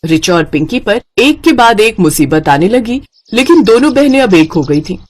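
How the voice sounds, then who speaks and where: Female narrator, scripted storytelling for kids, medium pitch. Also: sing-song, moderate pace, a little mellow.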